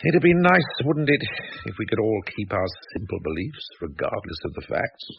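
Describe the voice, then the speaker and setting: An actor playing an opinionated, jovial middle-class English husband and wine bore in a radio drama, speaking in a bluff, declamatory way. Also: male voice, fairly low pitch, sing-song, normal speed, creaky. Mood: probing, worldly. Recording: dull, noisy radio drama recording.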